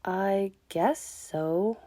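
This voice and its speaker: A woman's voice: medium pitch, extremely slow, dark tone. A woman playing a young fox Pokemon in a comic dub, a sceptical, easily flustered trainee explorer with a dry, questioning manner. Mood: weary, unsure. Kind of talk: animated comic dub acting.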